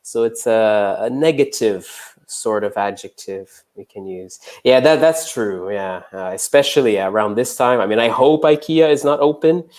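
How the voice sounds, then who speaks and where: A young adult man from Brazil, an English teacher, chatting in a relaxed way during a live online lesson with many uh and um fillers. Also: medium pitch, normal speed, few pauses.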